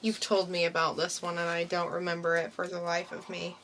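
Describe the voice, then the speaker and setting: A woman's voice: on the low side, monotone, brisk, fairly bright tone, a little hiss or hum, rough recording. A woman co-hosting a casual podcast who talks in an easygoing, musing way and often laughs. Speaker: podcast co-host.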